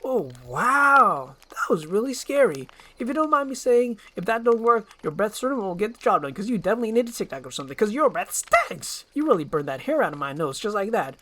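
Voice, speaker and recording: A woman's voice: medium pitch, big pitch swings, brisk, hardly any pauses, a bit bright, pitched above the performer's own voice. A woman voicing a talkative, needy male donkey, eager and rambling, in an amateur screenplay performance. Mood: chattering. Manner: chatty, eager, rambling, wheedling.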